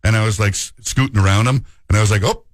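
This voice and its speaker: A man's voice: lowish voice, fast, no room sound, clean studio sound. A man with an Upper Midwestern accent, hosting a radio show and chatting casually, joking and laughing often.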